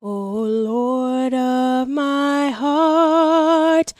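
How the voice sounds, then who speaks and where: Woman singer, high voice, very slow hymn, dry close sound, soft music. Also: level tone, no echo. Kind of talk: slow devotional hymn singing. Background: soft musical accompaniment.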